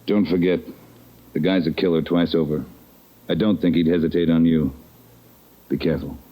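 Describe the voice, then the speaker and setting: An actor playing a stern police captain in a 1940s radio crime drama, giving curt, authoritative orders. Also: male voice, deep voice, fairly even intonation, moderate pace. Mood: stern, urgent. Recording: dull old radio recording.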